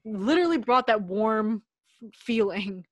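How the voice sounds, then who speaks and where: A woman's voice: fairly high pitch, expressive, a little slow, dry recording, studio quality. Reflective woman co-hosting a chat podcast. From United States. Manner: reflective, loose, many "like" fillers. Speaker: podcast co-host.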